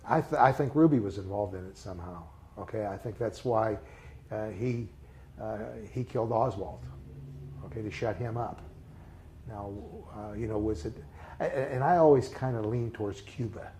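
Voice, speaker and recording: An older man talks through his memories in a mellow, rather low voice, stopping and restarting often and filling gaps with "uh" and "you know". There is a faint hiss of background noise under his voice. His tone is reflective and unhurried. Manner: halting, many fillers and restarts, reflective. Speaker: interviewee. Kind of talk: recalled personal memories in a casual interview.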